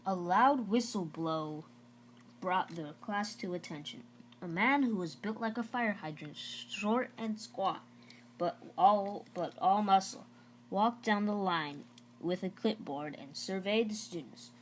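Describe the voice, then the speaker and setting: A woman's voice: mid-range voice, takes it slowly, a little hiss or hum. A woman narrating a children's novel aloud in a storybook read-aloud style.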